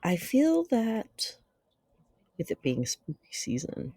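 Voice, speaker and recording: Storyteller host reading real slow n solemn, dramatic, deliberate, dry close sound. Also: medium pitch, huge pitch swings, mellow, slightly rough, no echo. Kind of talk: theatrical scripted narration and reading.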